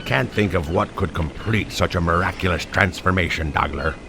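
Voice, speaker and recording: A man playing a pompous, gruff old pirate captain dog in an audio drama, with theatrical archaic pirate talk. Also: low voice, moderate pace, background noise. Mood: amused. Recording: music under the voice.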